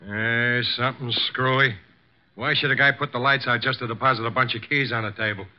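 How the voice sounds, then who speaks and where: An actor playing a gruff, grudging murder suspect with an offhand, slangy way of talking in 1950s radio drama style. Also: male voice, mid-range voice, fairly even intonation, fairly quick, natural voice. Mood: suspicious. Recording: dull old radio broadcast recording.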